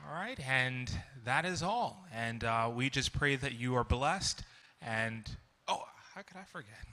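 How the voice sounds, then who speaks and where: A man in his thirties hosts a youth program from the front of a large church, holding a handheld mic. His voice is bright, and he talks casually, with plenty of "uh" and soft chuckles. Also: mid-range voice, unhurried, slight background noise. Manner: relaxed, chuckling, many "uh" fillers. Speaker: school staff host introducing students.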